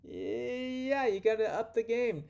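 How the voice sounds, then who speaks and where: A man with a fairly high, gravelly voice talks through a card game lesson at an unhurried pace. He is folksy and playful, dropping in exclamations and wandering asides as he explains each step. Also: animated, a little mellow, dull audio. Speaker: card game instructor and presenter. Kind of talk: informal tutorial narration walking through card hands.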